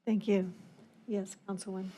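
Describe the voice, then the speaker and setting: A woman with a warm, mellow timbre and medium pitch chairs a formal meeting. Her delivery is courteous and procedural. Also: flat delivery, moderate pace. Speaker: committee chair.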